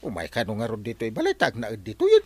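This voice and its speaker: A loud, mocking husband in a radio comedy. His voice leaps wildly up and down with every exclamation. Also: fairly low pitch, huge pitch swings, fast talker.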